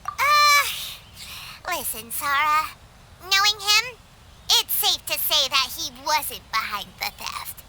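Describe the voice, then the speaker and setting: A woman voicing a small, childlike fairy companion in a video game, squeaky, outspoken and skeptical. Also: big pitch swings, slow pace, background noise, exaggerated voice. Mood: awkward, defensive. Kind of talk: video game cutscene acting.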